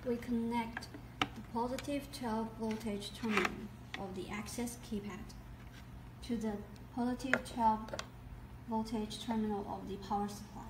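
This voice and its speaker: A woman giving scripted instructional narration as a product demonstrator, in a deliberate step-by-step way. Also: on the high side, steady tone. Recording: echoey, distant mic, noisy background.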